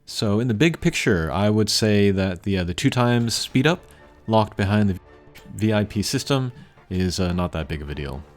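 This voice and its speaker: A man giving casual, unscripted gameplay commentary as a channel host, thinking aloud in a measured way. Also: lowish voice, lively intonation. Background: game music.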